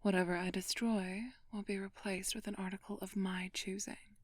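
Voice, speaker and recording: A woman playing an ancient vampire thief in an ASMR roleplay, flirtatious and imperious, speaking in a breathy, teasing, commanding whisper. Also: mid-range voice, flat delivery, dry recording, clean studio sound, lightly acted. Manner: slow, teasing, commanding, haughty.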